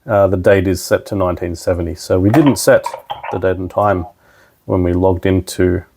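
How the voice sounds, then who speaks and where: A man with a fairly low voice walks the listener through a practical tutorial. There is plenty of gravelly fry in his delivery. He explains each step informally, like a trainer showing installers the ropes. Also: fairly even intonation, normal speed, background noise. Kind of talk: casual tutorial walkthrough.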